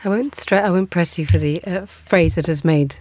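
A woman's voice: on the low side, normal speed, darkish tone. A woman presenting a radio discussion, with wry, playful asides.

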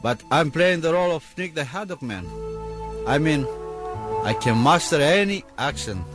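A man's voice: fairly high pitch, animated, normal speed, natural voice. A man playing a chatty hot dog vendor with a Greek accent in a comic radio bit, with cheesy radio-jock patter. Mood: hammy. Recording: archive clip with music behind.

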